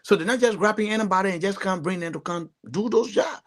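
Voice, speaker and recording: A man's voice: high voice, quick pace. A man from Liberia with a Liberian accent, giving earnest, emphatic political commentary as a talk show panelist, often repeating phrases.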